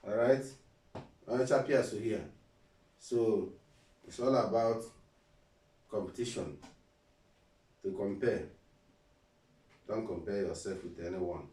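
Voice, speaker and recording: A man's voice: fairly low pitch, warm tone, recorded from a distance. Nigerian man advising in an echoey room. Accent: Nigerian, Igbo. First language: Igbo. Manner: preachy, repeats phrases, asks rhetorical questions. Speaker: vlogger. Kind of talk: casual motivational talk in Pidgin. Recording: roomy and a bit off-mic.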